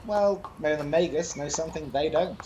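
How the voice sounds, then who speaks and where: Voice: medium pitch, moderate pace, dark tone, dull audio, lightly acted. An actor playing a cocky, wry sword-fighting mage in a fantasy audio drama, speaking casually and boastfully. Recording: noisy night-time cricket ambience behind.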